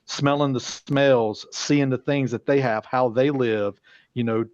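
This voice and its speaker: A man's voice: mid-range voice, fairly even intonation, a little slow, darkish tone, dry recording. A man co-hosting an EMS podcast, speaking thoughtfully and searching for words. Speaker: podcast co-host. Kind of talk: casual chat. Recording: dry, dull lo-fi audio.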